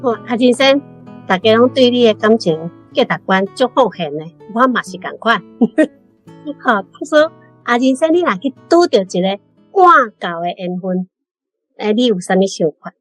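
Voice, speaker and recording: Higher-voiced Taiwanese woman over guitar music. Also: animated, moderate pace. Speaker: questioner in a book discussion. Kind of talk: casual question to a guest author. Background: plucked guitar music.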